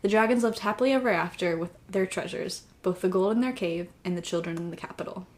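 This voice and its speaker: An actress playing a cocky but caring young vigilante in a naturalistic audio drama, with casual, teasing delivery. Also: female voice, on the low side, fast, a little mellow, natural voice. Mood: storytelling. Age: young adult. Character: cocky, caring young woman, college-age masked vigilante.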